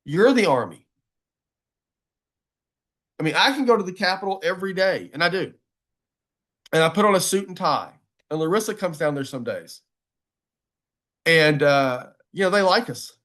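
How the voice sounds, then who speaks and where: A man speaks in a fairly high register. He presents like an advocate rallying a group, folksy in his wording and emphatic, restating key phrases to drive them home. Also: lively intonation, normal speed, pauses often, a bit bright. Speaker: advocacy speaker giving a webinar talk.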